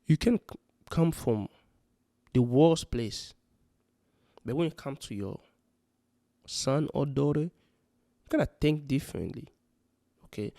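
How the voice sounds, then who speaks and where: A man's voice: mid-range voice, gravelly, warm tone, no echo, clean studio sound. A man from Congo, talking earnestly and rambling in a casual vlog, full of "you know" fillers. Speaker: vlogger giving parenting advice. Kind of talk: casual spoken vlog monologue. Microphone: handheld or close mic.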